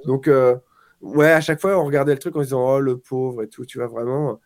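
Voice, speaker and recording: A man's voice: mid-range voice, a little fast. A man in his forties, a guest on a casual podcast chat, telling stories with energy and acting out quotes. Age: 40s. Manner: animated storyteller, acts out quotes.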